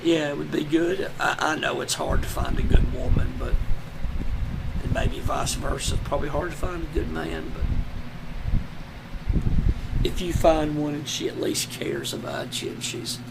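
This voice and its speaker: Hoarse man, rough fry in the voice, slow broken delivery, lying on a floor with heavy AC noise behind. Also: fairly high pitch, steady tone. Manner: slow, halting, despairing, many trailing restarts. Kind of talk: casual personal vlog.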